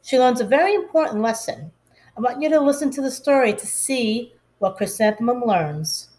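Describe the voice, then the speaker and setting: A woman reading a children's story aloud like a teacher, in a deliberate, expressive way. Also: on the high side.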